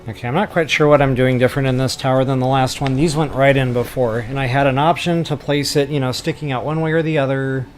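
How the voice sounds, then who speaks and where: A man's voice: medium pitch, steady tone, fast, few pauses. Male tutorial host, mid-range voice, casual and unscripted, mildly self-deprecating, thinks aloud while gaming, music behind. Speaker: video game tutorial host. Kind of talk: casual screen-recorded game building tutorial.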